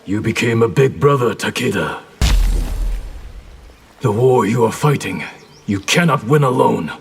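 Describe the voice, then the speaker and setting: An actor playing a solemn, guarded ninja mentor in a video game. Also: male voice, medium pitch, animated, unhurried, gravelly, slight background noise.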